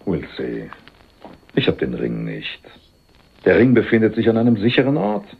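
Undercover detective sergeant, radio crime play: cool irony, low-set husky voice, lively intonation, dull sound. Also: male voice, normal speed, exaggerated voice. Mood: wry, composed. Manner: ironic, teasing, matter-of-fact. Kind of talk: German radio crime drama acting.

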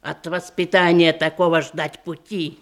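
An older actress playing a grumbling, thrifty old widowed aunt in a radio verse comedy, querulous, emphatic and theatrical. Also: female voice, lowish voice, little pitch movement. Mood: indignant, scornful. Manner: querulous, drawn-out, emphatic delivery.

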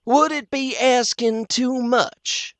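A coolly mocking doctor, as a male reader puts him on, lifting his voice high and stretching each word out slowly. The politeness is thin and insulting. The sound is close and dry. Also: steady tone, a bit bright, no room sound, heavily acted. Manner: slow, drawn-out, polite mockery. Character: man doctor, cool, mocking, ceremonious.

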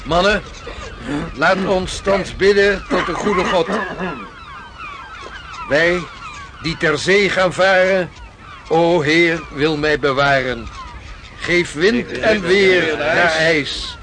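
A solemn seaman intoning prayers in a radio drama. His voice is high and extremely slow, with clear noise behind it.

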